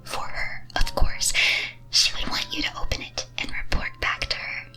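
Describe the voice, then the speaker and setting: A woman playing a teasing, wicked demon mistress in a breathy, theatrical ASMR whisper, full of dramatic rhetorical questions. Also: normal speed, bright, forceful tone, faint music behind. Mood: goading. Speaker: streamer performing as a demon-mistress persona.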